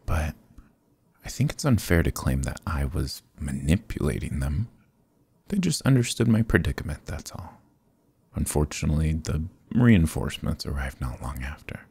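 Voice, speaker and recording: Male actor as sly fantasy rogue for ASMR roleplay: extremely low, gravelly voice, glib courtly flattery, evasive pauses. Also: no echo, heavily acted. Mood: charming, defensive. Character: sly, charming adventurer thief, smooth-talking and flattering. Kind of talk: fantasy ASMR roleplay acting, close and soft.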